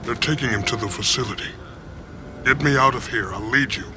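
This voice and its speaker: An actor playing a secretive, world-weary insider guide in a video game cutscene, terse and earnest. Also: male voice, mid-range voice, brisk, background noise, close to an everyday voice. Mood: ominous.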